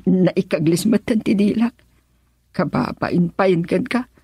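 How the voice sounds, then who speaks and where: An elderly village mother in a radio drama. She is husky and close in a dry studio, stammering and plaintive, grateful and easily embarrassed. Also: lowish voice, slow pace, warm tone, dry recording, exaggerated voice. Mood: apologetic, grateful. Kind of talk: Ilocano radio drama acting.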